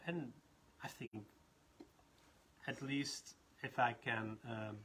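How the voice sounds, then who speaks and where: Dutch trainer, bright forward voice, faint background noise, chatty live session. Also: male voice, mid-range voice, fairly even intonation, slow pace. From Netherlands. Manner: rambling, many restarts and laughs. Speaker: leadership trainer. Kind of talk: casual live talk.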